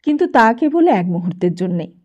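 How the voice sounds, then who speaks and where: A woman's voice: mid-range voice, sing-song, brisk, darkish tone. A woman audiobook narrator reading literary fiction expressively, on slightly dull, lo-fi audio.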